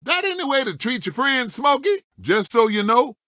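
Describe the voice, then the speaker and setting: A folksy old race car, a cocky veteran of the track in an animated video game. His voice is high and forceful, swinging wildly up and down through short, exclamatory lines. The sound is dry and close. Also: moderate pace, no room sound, full character voice. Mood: feisty.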